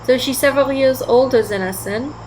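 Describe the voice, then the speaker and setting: A woman's voice: high-pitched, a little fast, a little mellow, slight background noise, close to an everyday voice, poor audio. A woman playing a thoughtful, skeptical teenage girl in an audio drama, polite and full of reasoning. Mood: curious, skeptical. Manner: inquisitive, reasoning.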